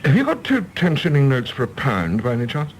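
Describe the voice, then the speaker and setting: Polite, restless English son, lively intonation. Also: male voice, mid-range voice, brisk, slight background noise. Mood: excited, nervous. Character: restless, polite, evasive bachelor son of anxious mother. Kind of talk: British radio drama acting.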